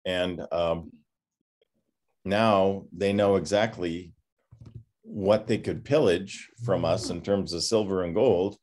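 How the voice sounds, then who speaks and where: An older man in his sixties, a pastor giving an informal class talk over a video call, reflective and halting with many pauses. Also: sits low, darkish tone. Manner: halting, reflective, often pausing. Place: home study with bookshelves, on a video call. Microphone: computer microphone on a video call.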